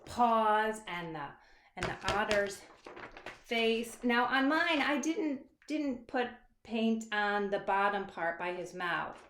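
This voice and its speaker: A woman's voice: mid-range voice, a bit bright. A woman giving a casual, encouraging, unscripted step-by-step craft tutorial for children. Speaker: children's author leading a craft demonstration. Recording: roomy echo, faint background noise.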